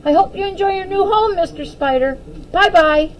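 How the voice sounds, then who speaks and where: A woman's voice: very high-pitched, moderate pace, slight background noise, pitched above the performer's own voice, poor audio. A woman voicing a sweet, earnest, brave young female character from a children's storybook. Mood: delighted, gentle.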